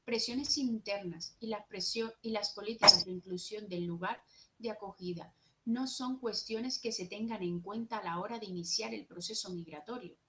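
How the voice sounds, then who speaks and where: Young woman, Jerez Andalusian accent, mid-pitched, earnest seminar speaker who leans on key words. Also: moderate pace, heavy background noise. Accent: Andalusian Spanish, from Jerez. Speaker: speaker at a university social work seminar. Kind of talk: conference talk, partly read.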